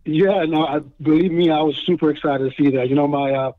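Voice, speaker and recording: A man's voice: a little high, steady tone, fairly quick. Man on a tinny phone line. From New York, Dominican family. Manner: friendly, many fillers and restarts. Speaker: guest. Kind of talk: casual interview chat.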